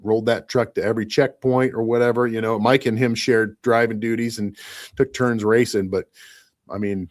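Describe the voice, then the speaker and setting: Low-voiced reflective man in his fifties. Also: steady tone, moderate pace. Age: 50s. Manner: rambling, reflective, often says "you know what I mean". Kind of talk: casual podcast chat.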